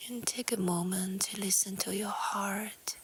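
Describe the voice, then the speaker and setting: A woman speaks in a soft, breathy near-whisper, guiding a yoga nidra meditation very slowly. Her delivery is gentle and calm, staying on an even, flat tone through short phrases. Faint outdoor ambience sits behind her voice. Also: mid-range voice, slight background noise. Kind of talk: guided relaxation meditation. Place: outdoors in nature. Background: faint natural ambience.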